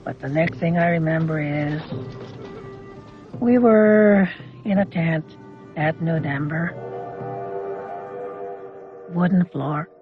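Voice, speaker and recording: Older Canadian man reminiscing, higher-pitched voice. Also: slow, long pauses, phone-quality sound, music in the background. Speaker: interviewee recalling childhood. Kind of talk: informal reminiscence.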